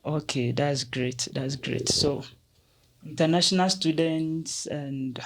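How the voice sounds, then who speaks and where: A man's voice: a little high, slow pace. A man hosting an interview, asking student leaders questions and affirming their answers often. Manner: encouraging, frequent affirmations.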